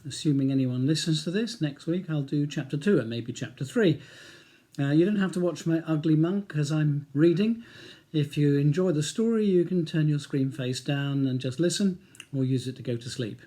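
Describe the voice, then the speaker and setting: A man's voice: fairly high pitch, moderate pace, mellow. A middle-aged man with an English accent reading his own novel aloud as audiobook narration.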